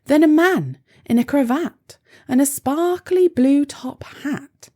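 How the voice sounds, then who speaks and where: A woman's voice: high voice, takes it slowly, warm tone. A woman reading a rhyming children's storybook aloud in a playful, theatrical way. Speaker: storybook reader.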